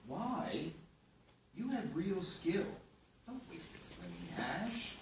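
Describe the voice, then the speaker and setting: A man's voice: high-pitched, wildly swooping pitch, slow, full character voice. A blunt chef teacher who picks everything apart, as a man reads him at a table read. His voice moves up and down a lot, and it comes from far off in an echoing room with some noise. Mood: pointed. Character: critical male culinary teacher, blunt and probing. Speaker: actor in a script reading.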